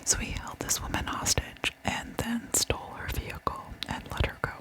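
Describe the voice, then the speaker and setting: A woman whispering a true crime story in ASMR style, with a soft, breathy, unvoiced delivery and deliberate storytelling.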